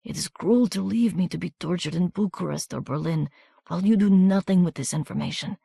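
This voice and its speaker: A reader voicing a wounded, desperate young soldier who speaks in a weak, breathy murmur with a soft foreign accent. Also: female voice, lowish voice, a little fast, dry recording, studio quality, voice pushed up, full character voice. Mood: pleading.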